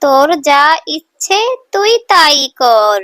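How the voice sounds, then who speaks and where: A woman's voice: sits high, sing-song, slow, fairly bright tone, dry recording, clean studio sound, heavily acted. A woman voicing an affectionate, indulgent village animal mother in a children's cartoon, doting and mildly chiding.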